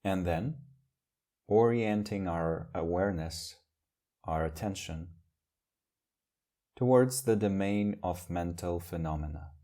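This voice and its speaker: A man leading a guided meditation as a teacher, speaking in gentle, measured phrases with long pauses. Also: deep voice.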